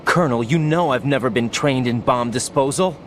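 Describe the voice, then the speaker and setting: Man acting young male soldier, medium pitch, earnest, hesitant, questioning, video game drama, clear background noise. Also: a little fast, close to an everyday voice. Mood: unsure. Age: young adult. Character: young rookie soldier, earnest and unsure of himself.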